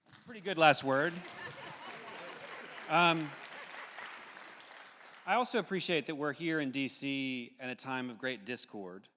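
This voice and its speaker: A man moderates a live public discussion in a reverberant hall. His voice sits at an average pitch, and he pauses often as he hands the floor around. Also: pushed and bright, a faint crowd. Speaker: host of an audience discussion. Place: large indoor hall at a live event. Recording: echoey hall with long reverb.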